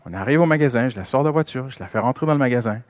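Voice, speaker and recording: A man's voice: medium pitch, animated, rapid-fire, no room sound. A man with a French Canadian accent preaching a sermon with personal stories, emphasizing and repeating key phrases.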